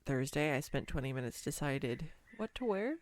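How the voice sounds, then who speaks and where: A woman playing a clumsy, nervous, giddy young woman on a first date in a romantic audio roleplay, rambling awkwardly and self-deprecatingly with halting stumbles. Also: sits low, expressive, a little mellow. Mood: nervous, confiding. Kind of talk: scripted romantic audio roleplay addressed to the listener.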